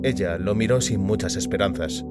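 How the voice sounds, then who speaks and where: A man's voice: low-pitched, normal speed. A man reading a short story as scripted audiobook narration. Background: music.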